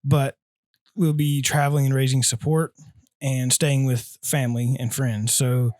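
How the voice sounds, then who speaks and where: A man in his twenties from North Carolina, a guest answering interview questions in an easygoing, halting way. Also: medium pitch, flat delivery, darkish tone, no room sound, studio quality. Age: 20s. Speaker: guest, aspiring missionary.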